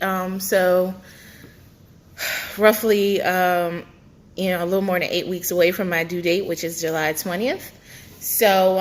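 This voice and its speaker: A woman's voice: medium pitch, a bit bright. A young woman vlogging a casual pregnancy update, chatty and rambling with many fillers, her nose stuffed up.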